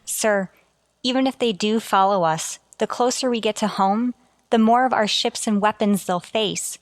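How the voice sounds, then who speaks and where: An actress playing an earnest communications officer on a starship in a sci-fi radio drama, deferential to a superior. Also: female voice, medium pitch, moderate pace, no room sound, lightly acted. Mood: anxious, questioning. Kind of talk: golden age sci-fi radio drama acting.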